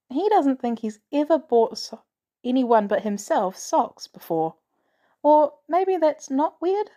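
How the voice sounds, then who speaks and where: A woman narrates fiction in a mellow, soft-edged tone. Her pitch moves in a lively way as she reads. The delivery is that of a scripted audiobook-style reading. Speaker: podfic reader.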